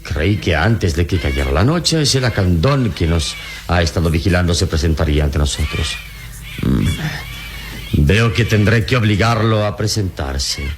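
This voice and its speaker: Casting: noble adventurer, very low measured voice, noisy radio-serial recording. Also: male voice, lively intonation. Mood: resolute. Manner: measured, resolute. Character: calm, commanding adventurer hero, noble and self-assured. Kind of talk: radio drama acting.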